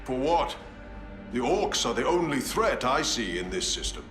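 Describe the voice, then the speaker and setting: An actor playing a stern, noble chapter master of space marines who speaks with commanding resolve. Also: male voice, medium pitch, sing-song, moderate pace, fairly bright tone. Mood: resolute. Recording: roomy game cutscene audio with music.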